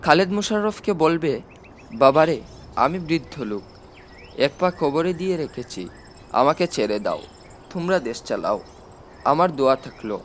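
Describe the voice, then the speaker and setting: A man's voice: a little high, very animated, moderate pace, pauses often, a little hiss or hum. A man voicing a self-satisfied elderly president with chatty, dry humour in an audiobook. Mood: wryly cheerful. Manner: chatty, drily humorous. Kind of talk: audiobook character dialogue.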